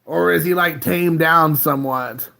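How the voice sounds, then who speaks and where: Man in his late thirties, gravelly, slightly high voice, rambling live-stream chat, phone mic at home. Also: measured pace, sharp tone. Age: 30s. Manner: rambling, laid-back, chuckling often. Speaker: livestream host.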